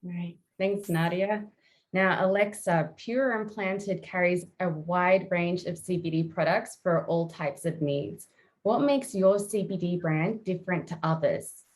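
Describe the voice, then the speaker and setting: A woman moderating a video-call panel, asking smooth, scripted questions. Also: fairly low pitch, a little slow.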